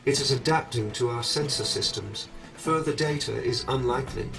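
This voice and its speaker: A man voicing a calm, formal artificial intelligence assistant in a video game, measured, precise and emotionless. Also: medium pitch, natural voice. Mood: calm, analytical. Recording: music behind, slightly distant voice.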